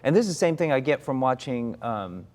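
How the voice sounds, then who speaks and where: A man's voice: medium pitch, big pitch swings, fairly quick, darkish tone. A man in his forties, an actor, reflecting candidly in conversation, often restarting his sentences. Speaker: actor, interview guest.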